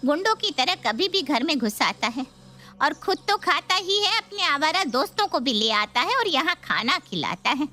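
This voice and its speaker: A woman's voice: sits high, very animated, normal speed, sharp tone, full character voice. A woman playing a middle-aged mother-in-law who is fawning, anxious and nagging, in a dramatised story. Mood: anxious, complaining. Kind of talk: dramatised Hindi story video.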